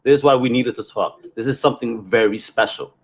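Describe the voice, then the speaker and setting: A man hosts a casual podcast with evident enthusiasm. His voice sits at an average male pitch, and he talks in slangy, emphatic bursts, like someone chatting with a buddy. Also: a little fast. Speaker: podcast host. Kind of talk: casual chat.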